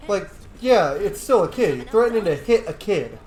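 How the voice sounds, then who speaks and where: A man's voice: high voice, big pitch swings, moderate pace, darkish tone, echoey room. A man giving casual commentary as he streams a video game playthrough. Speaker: gaming streamer. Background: faint game music.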